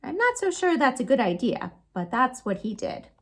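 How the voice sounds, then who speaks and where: A woman storytime host reading picture books aloud to children, expressive and sing-song, with chatty asides to her young listeners. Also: sits high, normal speed. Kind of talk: animated picture-book read-aloud for children.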